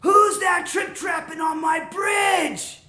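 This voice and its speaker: A woman voicing a hungry, bullying troll in a storybook tale, with drawn-out bellowing. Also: on the high side, fairly bright tone, echoey room, soft background music, a faint crowd, pitched above the performer's own voice, close to an everyday voice. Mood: menacing. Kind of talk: animated comic folktale storytelling.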